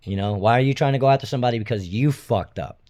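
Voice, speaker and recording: A man's voice: medium pitch, quick pace, dark tone. A man giving unscripted commentary to his viewers in a blunt, emphatic, indignant and profane way. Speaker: video host, self-styled citizen watchdog.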